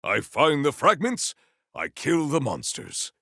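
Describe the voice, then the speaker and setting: A male actor voicing a proud, potent warrior in a video game, with formal, grandiose, deadpan delivery. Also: fairly high pitch, slow pace, gravelly, no echo, clean studio sound, full character voice. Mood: stoic, formal. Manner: formal, stilted, grandiose diction. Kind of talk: scripted video game character lines.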